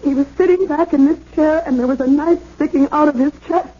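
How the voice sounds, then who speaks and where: An actress playing a hysterical, frightened young wife in a radio mystery, breathless, pleading and insistent. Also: female voice, high voice, brisk, mellow, natural voice. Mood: stunned, insistent. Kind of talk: old-time radio mystery acting. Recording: dull, noisy 1970s radio drama recording.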